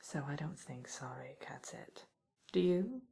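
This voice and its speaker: A woman playing a wry, teasing witch who scolds an intruder in an ASMR roleplay, speaking softly and often in a whisper. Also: deep voice, animated, a little slow, full character voice. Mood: mock-stern. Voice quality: soft, breathy, often whispered. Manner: teasing, musing, mock-stern, theatrical.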